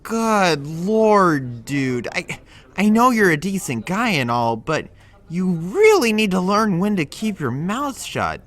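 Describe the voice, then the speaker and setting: Guy acting as a fed-up but caring buddy, chiding, casual, bright forceful voice, kinda slow talker, audio drama. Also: high-pitched, big pitch swings, exaggerated voice. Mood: exasperated, chiding. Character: exasperated but well-meaning male friend, blunt and casual.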